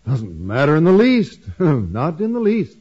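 An actor playing an earnest, bashful, easily wounded American schoolteacher with a stiff, proper manner in a 1950s radio comedy. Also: male voice, on the high side, huge pitch swings, a little slow, darkish tone, slightly rough, exaggerated voice. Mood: wounded, jealous. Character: earnest, jealous, self-effacing bashful male schoolteacher. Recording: dull band-limited 1950s radio recording.

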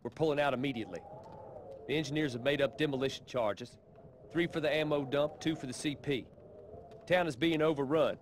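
An actor playing a stern, urgent WWII army officer in a video game, giving clipped, authoritative orders. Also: male voice, on the high side, brisk, a little hiss or hum, close to an everyday voice. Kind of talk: video game cutscene acting.

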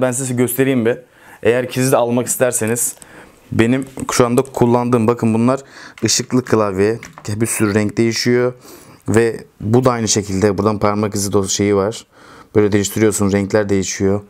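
A man's voice: medium pitch, a little mellow. Young man chatting casually to camera. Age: 20s. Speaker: host of a video channel. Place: small furnished room at home.

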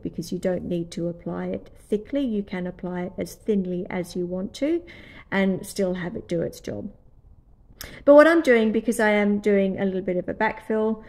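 A woman with an Australian accent talks through her nail work in a relaxed, explanatory way. She sounds a little nasal and stuffy from a cold. Her pitch sits in the middle, and she adds friendly, apologetic asides as she goes. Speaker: nail tutorial host. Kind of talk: voice-over nail tutorial.

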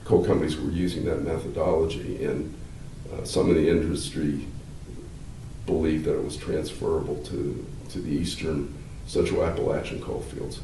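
An older man, a law professor, explaining at length in a measured, halting way with many fillers, seated in a wood-paneled room. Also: rumbling low, flat delivery, warm tone. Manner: gestures with hands while talking. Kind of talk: explanatory interview answers. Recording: roomy, slightly distant, faint hiss.

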